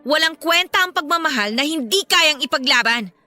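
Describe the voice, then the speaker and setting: A defiant young Filipina daughter in a radio drama. Her high, sharp voice is bitter, accusing and full of feeling, with music underneath. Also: lively intonation, moderate pace, exaggerated voice. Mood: tearful, furious. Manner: accusing, emotional.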